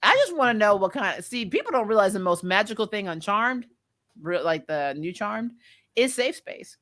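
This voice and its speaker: A woman's voice: mid-range voice, very animated, normal speed, a bit bright. A woman co-hosting a casual review livestream, chatting and reading out comments in a teasing way, often laughing. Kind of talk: casual chat, reading out live viewer comments.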